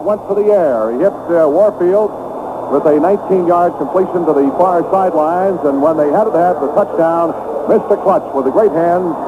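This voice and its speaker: A man calling a football game live as radio play-by-play announcer from a stadium booth, his voice jumping up on big plays. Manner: rises sharply in pitch on big plays. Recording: tinny old AM radio broadcast with hiss.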